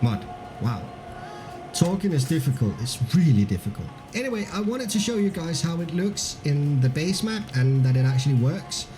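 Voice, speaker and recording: A man's voice: on the high side, sing-song, moderate pace, music in the background. A Danish man with a mixed accent that is hard to place, streaming a game live and chatting loosely with viewers, full of fillers and restarts. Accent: mixed, hard to place, with a Danish base. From Denmark. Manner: reads viewer comments aloud and laughs easily.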